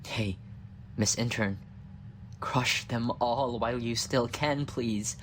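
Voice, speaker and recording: A man's voice: mid-range voice, steady tone, slow, a bit bright, slight background noise. A male voice actor playing an exasperated, sarcastic scientist in an ASMR audio roleplay, whiny and weary. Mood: despairing, sarcastic.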